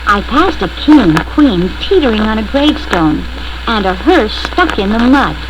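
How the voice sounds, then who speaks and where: A woman reads a spooky children's story as a first-person narrator. Her voice is fairly high with very animated intonation, delivered slowly and deliberately. The old vinyl record adds clear crackle, scratches and pops.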